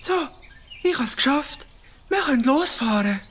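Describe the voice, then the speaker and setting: A woman with a Swiss German accent, voicing a gentle, sentimental young kid in a children's audio drama. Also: sits high, animated, unhurried, a little hiss or hum. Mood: chatty.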